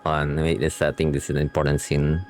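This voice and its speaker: A man's voice: rumbling low, completely flat delivery, quick pace, a little mellow. A man doing casual, slangy game reaction commentary, rambling along and reading dialogue aloud. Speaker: game streamer reacting to a story quest. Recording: game music playing behind. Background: video game music and dialogue.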